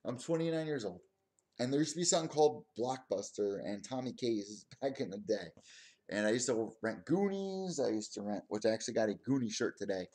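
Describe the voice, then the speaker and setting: A man in his twenties hosting his own combat sports podcast, talking in a rambling, excitable way with lots of asides. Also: mid-range voice, a little fast. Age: 20s. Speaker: podcast host. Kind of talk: casual solo stream commentary.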